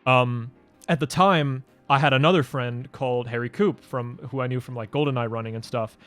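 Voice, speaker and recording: A man's voice: mid-range voice, normal speed, a bit bright, faint music behind. A man in his twenties from Denmark, answering fan questions in a loose, rambling way with many fillers and restarts. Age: 20s. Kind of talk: casual Q&A vlog.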